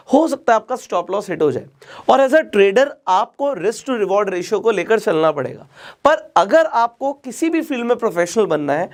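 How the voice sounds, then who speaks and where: A man from India with a sore, slightly hoarse throat, teaching a lesson and talking straight to his viewers, slipping English phrases into his Hindi. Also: high voice. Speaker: trading teacher. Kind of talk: explaining lesson to camera.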